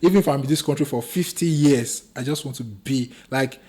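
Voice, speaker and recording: A man with a Nigerian accent speaks as a guest on a podcast. He is earnest and proud, and he leans on his words for emphasis. His voice sits at an ordinary middle pitch. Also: fairly quick, a little mellow, husky. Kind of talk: casual interview chat.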